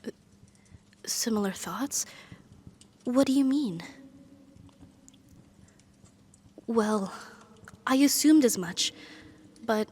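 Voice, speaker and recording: A woman playing a powerful demon devoted to her master in an intimate ASMR roleplay, speaking formally in a soft, breathy voice that often drops to a whisper. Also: fairly high pitch, expressive, full character voice. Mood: shy, disbelieving. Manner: formal, devoted, slow and hesitant phrasing.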